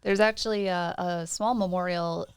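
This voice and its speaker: Low-voiced, smooth woman co-host. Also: fairly even intonation, moderate pace, no room sound, studio quality. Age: 40s. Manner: chatty, laughs often, many ums. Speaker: podcast co-host. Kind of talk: casual podcast chat.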